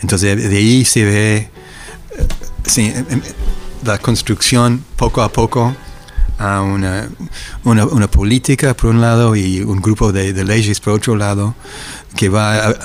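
An older American man with a somewhat low voice gives reflective testimony in an interview. His American accent marks him as a native English speaker. He feels his way haltingly through sentences, repeating syllables as he hunts for the next word. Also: steady tone, soft background music. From United States. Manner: halting, searching for words, frequently repeating syllables. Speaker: interviewee, academic eyewitness.

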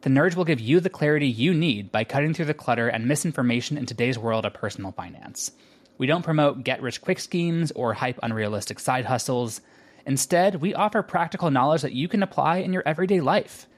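A man delivers a scripted podcast advertisement. His voice sits at an average male pitch, and he reads as an announcer making a pitch to listeners. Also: lively intonation, a little fast. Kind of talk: scripted promotional read.

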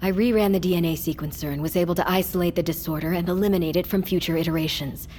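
Audio drama, actress as curt obsessive scientist, lowish matter-of-fact delivery, slight background noise. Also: female voice, fairly even intonation, quick pace, darkish tone, close to an everyday voice. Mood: cold, defensive.

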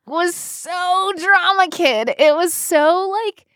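A woman in her thirties co-hosting a casual chat, telling stories in an animated, irreverent way peppered with "like". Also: extremely high pitch, takes it slowly, dry recording, clean studio sound. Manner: animated, irreverent, frequent "like" filler. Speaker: podcast co-host.

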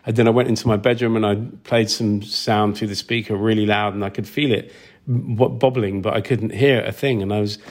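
A man's voice: lowish voice, fairly even intonation, brisk. A man talking casually as an interview guest, rambling through stories and acting out reactions. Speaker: interview guest, sound designer. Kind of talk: casual anecdotal interview talk.

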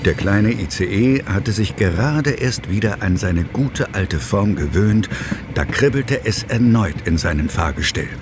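A man narrating a children's audio drama as a wry, expressive storyteller. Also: fairly low pitch, a little slow, noisy background. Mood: wry, storytelling.

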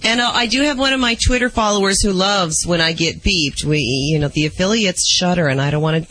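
Bright, teasing male radio co-host. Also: high voice, brisk, low-quality recording. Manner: teasing, laughing, wisecracking. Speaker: radio co-host and news reader. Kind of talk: radio banter.

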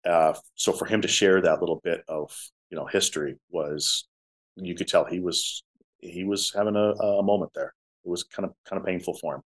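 Low-voiced man telling stories. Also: moderate pace. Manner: talkative storyteller, drops to soft tones. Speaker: musician guest. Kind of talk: casual interview chat.

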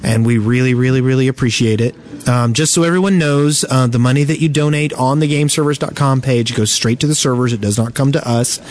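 A man's voice: medium pitch, steady tone, brisk, darkish tone, a little hiss or hum. A man hosting a live gaming podcast at a convention, joking around and laughing easily while steering the talk back on track. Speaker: podcast host. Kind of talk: casual live podcast banter.

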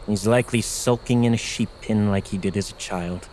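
Arrogant, lowish-voiced prince, played by an actor. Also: male voice, fairly even intonation, normal speed, darkish tone, slight background noise, lightly acted. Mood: scornful. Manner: haughty, dismissive. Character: cold, arrogant, scornful grown prince, contemptuous of weakness. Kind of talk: fantasy audio drama acting.

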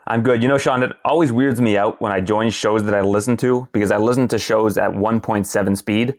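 A man from Canada with a Canadian accent, a guest on a podcast, speaking in a measured, analytical way. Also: lowish voice, fairly even intonation, no echo, clean studio sound. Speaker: podcast guest, sports journalist. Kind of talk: casual podcast chat.

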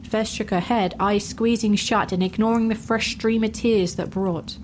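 A woman's voice: medium pitch, steady tone, normal speed, darkish tone, slight background noise, rough recording. Woman narrating a fantasy story.

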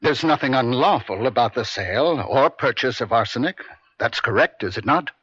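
Husky, stern defense attorney cross-examining. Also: male voice, medium pitch, expressive, a bit bright, no room sound. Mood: pointed, pressing. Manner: deliberate, pointed, domineering. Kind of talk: radio drama acting, courtroom cross-examination. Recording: dry, dull vintage radio broadcast.

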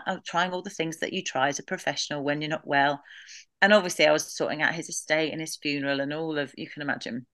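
Low bright-voiced woman, halting and candid. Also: dry recording. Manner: halting, many restarts, talks through grief with laughs. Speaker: podcast guest. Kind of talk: candid emotional interview.

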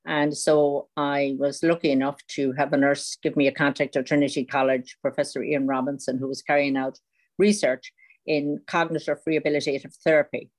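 Older Irish woman guest, very low, flat voice, halting speech with many fillers. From Ireland. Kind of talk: interview answer.

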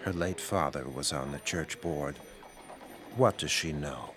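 An actor playing a solemn small-town pastor with a measured, preachy, paternal manner in an audio drama. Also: male voice, extremely low pitch, background noise. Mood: calm, paternal. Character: solemn, slow-talking pious small-town male pastor.

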